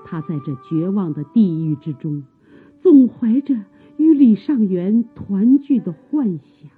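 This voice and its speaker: A woman narrates a radio drama slowly and dramatically, her voice very husky and breathy. The sound is thin and phone-like, with music behind her. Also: medium pitch, expressive. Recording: dull, phone-like old radio recording.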